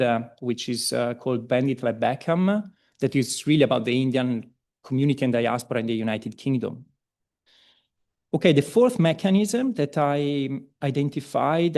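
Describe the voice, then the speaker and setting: An Italian-accented man presents at an academic seminar, his speech full of ums, restarts and relaxed, self-deprecating asides. His voice has a gravelly, creaky edge and sits at a middle pitch. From Italy. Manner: hesitant, many ums and restarts. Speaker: seminar speaker.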